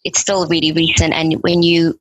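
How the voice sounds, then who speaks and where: Even-pitched woman from Zimbabwe, dry sound. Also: normal speed, no room sound, rough recording. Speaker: panel participant. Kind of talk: reflective personal discussion.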